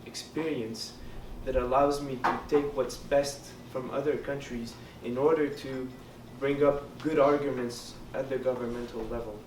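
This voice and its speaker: A man's voice: medium pitch, fairly even intonation, moderate pace, hardly any pauses. A man whose first language is French, a student election candidate giving earnest, hesitant answers at a debate. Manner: earnest, hesitant with many fillers. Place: lounge hall during a debate. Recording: roomy, distant mic, background noise.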